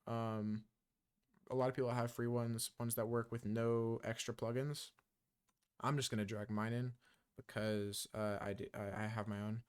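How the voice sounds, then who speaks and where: A man giving a casual music-software tutorial, talking loosely with many ums and uhs. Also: mid-range voice, flat delivery, normal speed, smooth.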